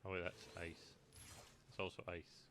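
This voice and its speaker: A man's voice: low-pitched, completely flat delivery, normal speed, background noise. A man giving casual, playful gameplay commentary as a streamer, with a fondness for puns. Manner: playful, punning. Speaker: video game streamer. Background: game music.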